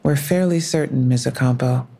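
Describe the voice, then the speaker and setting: Low, mellow, composed policewoman. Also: creaky, breathy edge, full character voice. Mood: calm, careful. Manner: measured, formal, careful. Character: composed, sympathetic female police officer. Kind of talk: full-cast audio drama acting.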